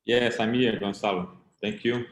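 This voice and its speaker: A man whose first language is Portuguese, giving a keynote talk in English with a plain, somewhat halting delivery. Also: on the low side, steady tone, echoey room. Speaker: keynote speaker. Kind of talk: conference talk.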